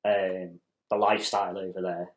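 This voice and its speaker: A man hosting a podcast, asking relaxed questions and backing the guest with frequent short agreements. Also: low-pitched, moderate pace, reverberant. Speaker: podcast host. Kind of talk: casual interview chat.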